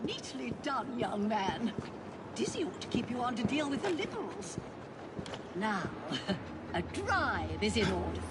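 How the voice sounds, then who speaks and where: A woman playing an excitable upper-class Victorian politician's wife in a video game, with a grand, chatty English upper-class accent. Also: a little high, unhurried, very noisy. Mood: coy, playful. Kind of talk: video game cutscene acting. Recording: loud music over the dialogue.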